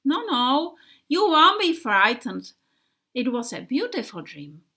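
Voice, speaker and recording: A woman's voice: high-pitched, very animated, slow, sharp tone, heavily acted. A woman voicing an earnest old bomber plane. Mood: reassuring. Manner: earnest, vivid retelling of a dream.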